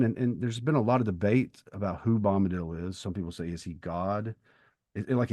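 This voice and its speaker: Deep-voiced man discussing books, close dry sound. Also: mellow, no echo. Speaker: podcast co-host. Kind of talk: conversational literary discussion.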